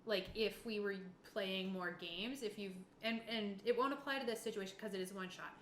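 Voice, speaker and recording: A woman's voice: medium pitch, normal speed. Woman gamemaster explaining stuff casually, roomy echo, bit of noise. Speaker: game master running a tabletop horror game. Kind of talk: casual game narration and rules talk. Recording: echoey room with faint background noise.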